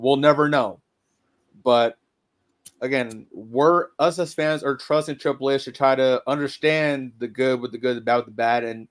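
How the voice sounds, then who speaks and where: A man in casual podcast chat, measured and reflective, often stammering into his points. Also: medium pitch. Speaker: podcast co-host.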